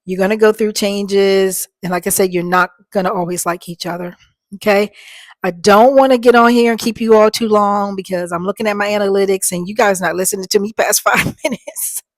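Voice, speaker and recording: A woman in her fifties speaking in a soft, slightly worn voice that doesn't carry far. She rambles earnestly at a middling pitch, addressing her viewers as folks. The sound is close and dry, picked up by a small microphone. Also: fairly even intonation, brisk, no room sound. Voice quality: soft voice that does not carry. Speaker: vlogger. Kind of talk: casual talk to viewers.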